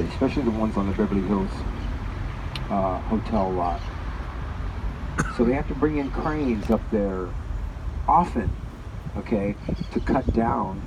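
A man with a loud voice giving a live guided tour, chatting to the group and addressing them directly. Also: fairly low pitch. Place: outdoors on a moving tour vehicle. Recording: distant, muffled mic with loud wind noise.

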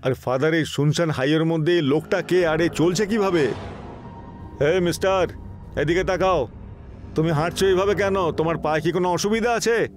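A man's voice: on the high side, normal speed, soft background music, natural voice. A man playing a confident, skeptical police inspector in a horror cartoon, assertive and brusque. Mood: suspicious.

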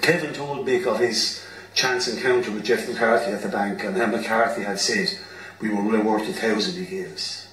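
A man's voice: lowish voice, steady tone, normal speed, fairly bright tone. Irish man narrating, roomy and distant. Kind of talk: scripted narration of a radio play. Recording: roomy, distant mic, background noise.

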